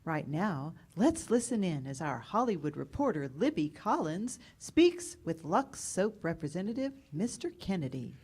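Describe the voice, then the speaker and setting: A woman's voice: on the low side, very animated, moderate pace, hardly any pauses, darkish tone, slightly rough. A woman playing a polished radio announcer, reading scripted introductions in 1940s style. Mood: polished, presentational.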